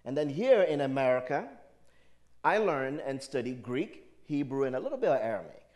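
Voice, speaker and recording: A man's voice: medium pitch, very animated, normal speed, a little mellow. A man from Liberia with a Liberian accent, a native speaker of a Liberian dialect, preaching emphatically to a live audience and putting rhetorical questions to them. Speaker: spiritual speaker. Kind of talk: motivational sermon to a live audience.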